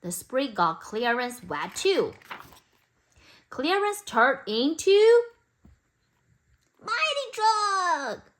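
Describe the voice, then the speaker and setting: Woman, children's story reader, expressive, slow deliberate phrasing, high pitch, lively intonation. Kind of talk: expressive read-aloud for toddlers.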